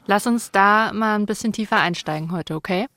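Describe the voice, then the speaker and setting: A woman's voice: mid-range voice, brisk. A woman hosting a conversational interview podcast in an informal, chatty and inquisitive way, close on the mic. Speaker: podcast host.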